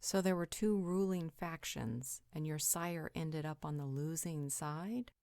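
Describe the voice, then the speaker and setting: A woman's voice: sits low, measured pace, darkish tone, dry recording, clean studio sound. A woman playing a perceptive, frank confidante in an audio roleplay, reasoning in a direct, insistent way. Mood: probing.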